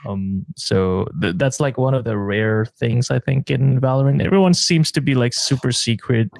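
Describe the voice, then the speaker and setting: A man's voice: medium pitch, normal speed, vocal fry, a little mellow, no room sound. A man hosting a casual podcast interview, reacting a lot and asking loose, rambling questions. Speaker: podcast host.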